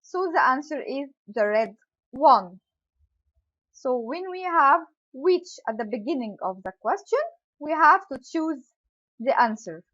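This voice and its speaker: A woman's voice: high-pitched, animated, a little slow, a bit bright, lo-fi sound. A woman teacher carefully explaining question words to young pupils, acting out sample questions and answers. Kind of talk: English grammar lesson.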